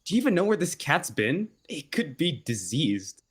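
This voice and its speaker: A man's voice: fairly high pitch, big pitch swings, moderate pace, husky. A man voicing a disdainful, suspicious young tomcat from a wild clan in a roleplay game. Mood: scornful. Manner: scornful, protesting.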